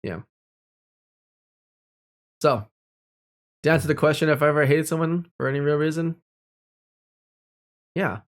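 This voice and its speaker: A man's voice: on the high side, unhurried, pauses often. A young man telling personal stories in a casual, candid and reflective way, speaking informally. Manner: candid, informal, with mild swearing.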